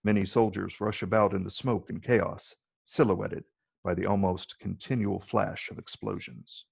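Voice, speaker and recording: Brief: male narrator for an action screenplay, lowish pitch, husky and creaky, reads stage directions. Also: moderate pace, darkish tone. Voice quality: gravelly, husky.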